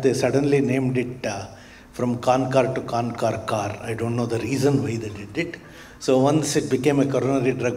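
Mellow-voiced male nephrologist on a panel. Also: mid-range voice, a little hiss or hum. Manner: measured, explanatory, with frequent hesitations. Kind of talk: medical panel discussion. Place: conference stage. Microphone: handheld mic.

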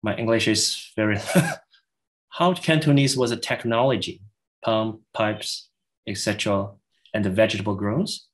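A middle-aged man, a native Chinese speaker, answering questions after a lecture in halting English with many restarts, over a video call. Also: fairly low pitch, steady tone. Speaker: guest lecturer. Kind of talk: academic Q&A answers.

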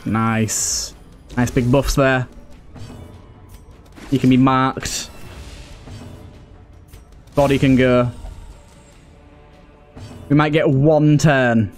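A man's voice: medium pitch, steady tone, very slow, long pauses, faint music behind. A British man giving casual let's play commentary, thinking aloud and addressing the characters on screen as he goes.

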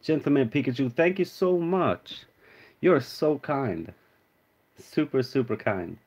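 A man's voice: medium pitch, lively intonation, takes it slowly, darkish tone, husky. A man from Sweden with a Swedish accent tinged with American, casually chatting on a live stream, greeting viewers and naming cards as he opens them. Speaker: card-opening streamer. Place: indoor room with card display.

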